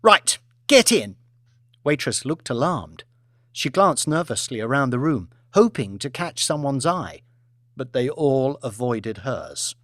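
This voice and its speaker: A man's voice: mid-range voice, very animated, a little slow, dry recording, clean studio sound. A man narrating an audiobook in a dramatic, scripted storytelling style.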